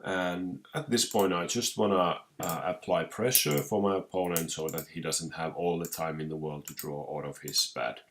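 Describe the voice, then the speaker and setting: A young man with a Finnish accent who is sick with a cold, commentating his own card game match with many pauses, restarts and throat clearing. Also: deep voice, a bit bright, a little hiss or hum. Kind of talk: casual gameplay commentary.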